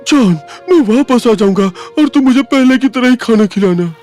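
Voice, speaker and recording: A man's voice: extremely high pitch, lively intonation, normal speed, a little mellow, soft background music. A man voicing a lazy, food-loving, sarcastic cartoon cat who grumbles. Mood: hopeful. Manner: grumbling, sardonic. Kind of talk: animated cartoon dub.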